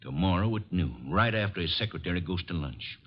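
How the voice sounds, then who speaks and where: An actor playing a bitter, vengeful man on the run, halting but insistent, in old radio drama style. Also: deep voice, animated, a little hiss or hum. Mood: grim, determined. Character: bitter, vengeful drifter wrongly blamed for a killing. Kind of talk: 1950s radio drama acting. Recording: muffled old radio recording.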